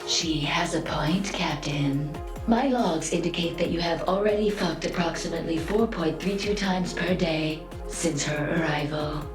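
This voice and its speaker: A woman playing a polite, literal-minded female android in a video game, speaking formally and precisely with a deadpan manner. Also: deep voice, measured pace. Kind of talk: scripted video game dialogue. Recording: roomy echo, music behind.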